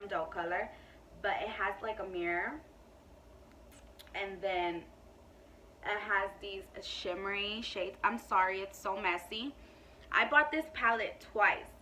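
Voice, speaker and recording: A woman's voice: medium pitch, slow, pauses often, pushed and bright, distant mic, slight background noise, poor audio. A woman in her twenties chatting casually to camera in a beauty Q&A, emphatic and full of "like" and "you know.". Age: 20s. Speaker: beauty vlogger.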